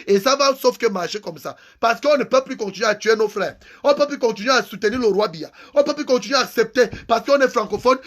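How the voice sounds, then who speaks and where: Male activist, unusually high-pitched, angry and insistent. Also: fairly bright tone. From Cameroon. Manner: angry, insulting, repeats phrases for emphasis. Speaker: activist vlogger. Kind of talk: impassioned political rant.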